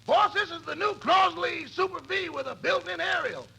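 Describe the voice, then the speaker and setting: An actor playing a sardonic, wisecracking valet with a gravelly, rasping voice in 1950s radio comedy. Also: male voice, very high voice, normal speed, sharp tone, exaggerated voice. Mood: wry, wisecracking.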